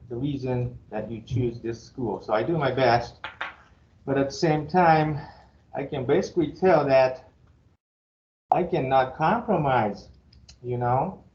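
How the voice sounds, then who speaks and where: A man's voice: medium pitch, darkish tone. Japanese-accented man teaching in an echoey room. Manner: chatty and joking, halting with fillers. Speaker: university professor. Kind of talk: casual first-day class talk. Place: classroom. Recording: echoey, dull classroom recording with faint hiss.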